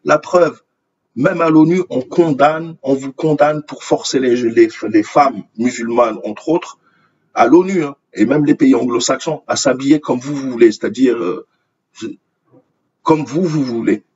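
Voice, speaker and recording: Husky Algerian man in indignant commentary. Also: medium pitch, measured pace, a little mellow, muffled recording. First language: Arabic. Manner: indignant, repeating phrases for emphasis. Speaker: online commentator. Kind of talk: opinionated commentary on news articles read aloud.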